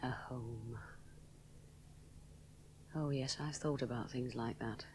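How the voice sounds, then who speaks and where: A woman's voice: extremely low pitch, steady tone, takes it slowly, darkish tone, slight background noise, low-quality recording. An actress playing a young woman aviator alone over the sea, musing inwardly, often dropping to a whisper. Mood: brooding. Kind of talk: 1970s radio drama inner monologue.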